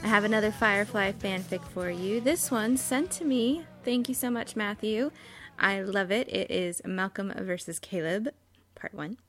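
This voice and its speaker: A woman's voice: medium pitch, lively intonation, moderate pace, soft background music. A woman hosting a podcast, giving a casual, enthusiastic introduction. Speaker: podcast host.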